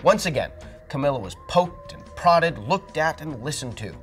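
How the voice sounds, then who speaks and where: A middle-aged man reading a children's storybook aloud, animated and dramatic. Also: fairly high pitch, normal speed, faint music behind. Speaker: storybook reader. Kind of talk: expressive storybook read-aloud.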